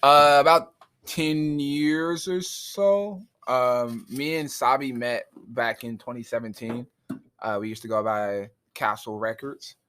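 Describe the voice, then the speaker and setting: Male rapper guest, 20s, relaxed storyteller. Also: medium pitch, slight background noise. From Southern California. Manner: relaxed, laughs easily, drawn-out fillers. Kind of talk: casual storytelling. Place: recording studio.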